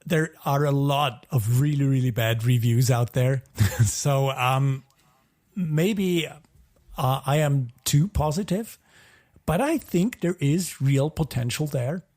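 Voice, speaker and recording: A man's voice: medium pitch, takes it slowly, darkish tone. A man co-hosting a podcast in casual review chat, hesitantly qualifying his opinions and repeating words as he goes. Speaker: podcast co-host.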